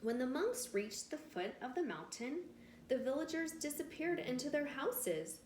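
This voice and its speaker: A woman reads a picture book aloud as a teacher would for children. Her delivery is slow and expressive, and she explains things in simple terms. The room echoes around her, with slight background noise. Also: high voice. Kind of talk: storybook read-aloud with simple explanatory asides. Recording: roomy echo with faint background noise.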